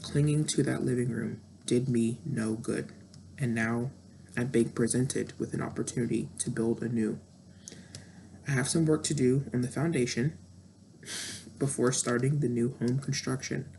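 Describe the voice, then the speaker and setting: A woman's voice: extremely low pitch, fairly even intonation, normal speed, vocal fry, mellow, a little hiss or hum. A woman in her thirties talking candidly and introspectively in a reflective personal monologue, with frequent fillers. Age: 30s. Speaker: vlogger.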